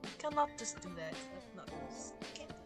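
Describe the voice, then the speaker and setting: A woman live-streaming a visual novel, reading it aloud and commenting in an expressive, theatrical, playfully exasperated way. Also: high-pitched, fast. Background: loud guitar game music.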